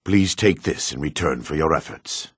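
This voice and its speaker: A man voicing a gruff, guarded dark elf townsman in a fantasy video game, with a raspy, measured, theatrical delivery. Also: extremely low pitch, dry recording, clean studio sound. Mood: solemn. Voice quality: raspy, gravelly.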